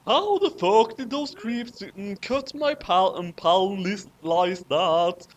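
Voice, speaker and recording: A person giving a dramatic amateur reading, halting and stumbling over words, with casual asides. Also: mid-range voice, a little hiss or hum. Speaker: reader of a fanfic.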